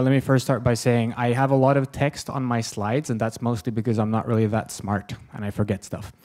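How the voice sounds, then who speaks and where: A man's voice: mid-range voice, darkish tone. A man in his thirties with a Swedish accent, giving a self-deprecating, joking conference lecture from a podium, with lots of ums. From Sweden. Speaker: conference speaker. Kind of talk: lecture presentation. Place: conference hall with audience. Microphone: podium microphone, far camera.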